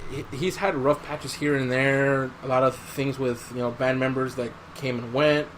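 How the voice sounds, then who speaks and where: Male podcast host, mid-pitched, relaxed chat style with storytelling, slight noise behind the voice. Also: moderate pace, rough recording. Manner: conversational, recounting stories, says dude. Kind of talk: casual chat. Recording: clear background noise.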